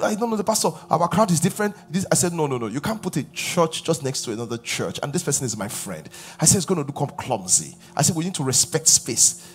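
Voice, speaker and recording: A pastor in his forties preaching a sermon on a large church stage before a live audience, his voice rising loud on key points as he questions the crowd. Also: fairly high pitch.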